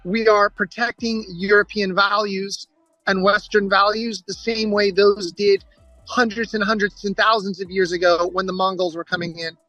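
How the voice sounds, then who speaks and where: A woman's voice: medium pitch, flat delivery, a little slow, a bit bright. A woman speaking as a spokesperson on a call, making emphatic, declarative political remarks. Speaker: former military spokesperson. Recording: dull lo-fi call audio with music.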